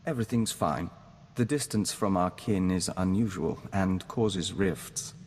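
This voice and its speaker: An actor playing a calm, dutiful diplomat bound to a hive mind, speaking formally in video game dialogue. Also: male voice, low-pitched, big-room sound. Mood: earnest, subdued. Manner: formal, measured, speaks of himself as we.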